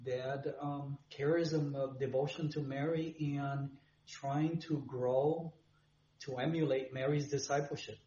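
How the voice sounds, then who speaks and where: A man from Puerto Rico, a friar, answering interview questions in a soft, earnest way. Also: mid-range voice. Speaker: friar and guest. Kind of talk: podcast interview. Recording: roomy, dull sound with faint hum.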